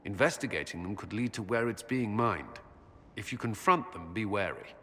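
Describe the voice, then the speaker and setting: A man's voice: on the low side, lively intonation, normal speed, fairly bright tone, breathy edge, long reverb tail. An actor playing a grave, earnest male military commander in a game, speaking firmly. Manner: grave and firm.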